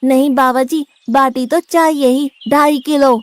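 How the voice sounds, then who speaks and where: A woman's voice: high-pitched, fairly even intonation, a little slow. A woman voicing a naive, stubborn young male disciple who loves food, speaking bluntly and indignantly in a cartoon story. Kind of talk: Hindi cartoon story dubbing.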